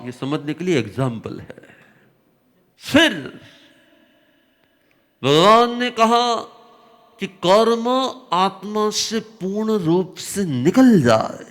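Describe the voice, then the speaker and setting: A man teaching a religious discourse, his voice slightly hoarse, explaining slowly with repetition and emphatic rises. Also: sits high. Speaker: Jain spiritual teacher.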